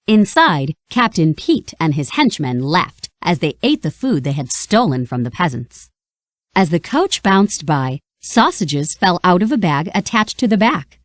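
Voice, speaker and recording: A woman reading a children's read-along story in an expressive, scripted storytelling style. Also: on the low side, unhurried, no echo, clean studio sound. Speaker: storybook narrator.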